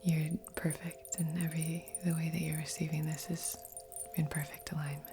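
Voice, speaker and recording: Female ASMR Reiki guide: breathy whisper, very level pitch, gentle and unhurried, over clearly audible music. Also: flat delivery. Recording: soft music under voice. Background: background music.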